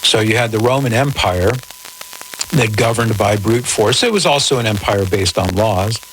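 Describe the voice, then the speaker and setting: A man hosting a radio call-in show, answering in a measured, articulate, conversational way with formal wording. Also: fairly low pitch, animated, fairly bright tone. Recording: noisy radio broadcast with static.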